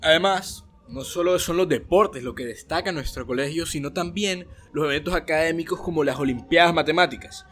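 Higher-voiced young co-host reading news. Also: male voice, fairly quick, hardly any pauses, a bit bright, faint music behind. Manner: reads news items, chatty asides. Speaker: student podcast co-host. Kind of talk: school news podcast hosting.

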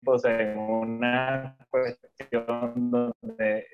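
A man's voice: medium pitch, little pitch movement, extremely slow, clean tone, soft background music. Venezuelan man, co-host, rambling chat, muffled video call audio. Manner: rambling, repeats words, laughs often.

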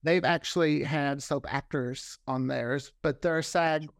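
Talkative man, slightly high voice, dry sound. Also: steady tone, takes it slowly, creaky, dry recording. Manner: talkative, repeats words for emphasis. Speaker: interview guest, fiction podcast creator. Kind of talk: casual interview chat.

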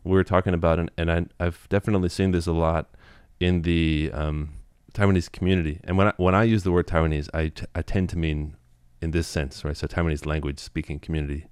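A man guest in an interview, explaining at length in a relaxed way with many "you know"s and restarts. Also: low-pitched, smooth, dry recording, clean studio sound. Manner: quick, thoughtful, full of "you know" and restarts.

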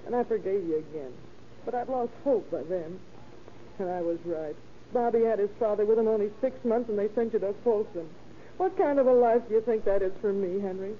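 A woman's voice: mid-range voice, a little fast, lightly acted. Woman acting a weary, reproachful wife, muffled recording. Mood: weary, bitter. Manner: scolding, earnest, dramatic. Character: weary, reproachful, long-suffering wife and mother. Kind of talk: 1930s radio melodrama acting. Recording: dull, hissy old radio recording.

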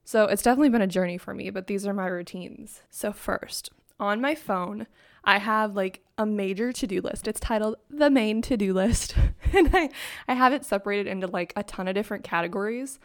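A young woman chatting into her own microphone for her podcast, upbeat and rambling, with lots of "like" along the way. Her voice still has a sleepy, just-woken edge. She sits at a middle pitch for a woman. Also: brisk. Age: young adult. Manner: chatty, upbeat, heavy use of "like". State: sleepy morning voice. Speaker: podcast host. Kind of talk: casual solo podcast talk. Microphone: own microphone and laptop.

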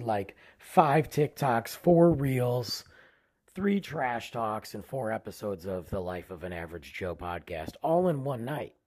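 A man hosting his own podcast in a casual, rambling way, tired and losing his voice, often trailing low at the ends of phrases. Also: a little mellow, husky. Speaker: podcast host. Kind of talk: casual solo podcast intro.

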